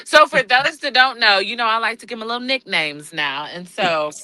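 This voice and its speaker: A woman's voice: on the high side, lively intonation, moderate pace, heavy background noise. A woman guest on a livestream, chatting casually and sassily from a truck stop while on the road for work. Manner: sassy, calls people girl and honey. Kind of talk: casual chat.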